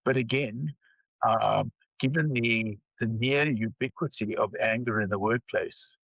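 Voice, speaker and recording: An older man with a South African accent, speaking as an interview guest in a measured way with frequent pauses. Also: mid-range voice, vocal fry, a bit bright, breathy edge, no room sound, low-quality recording. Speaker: guest psychology researcher. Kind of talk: interview conversation.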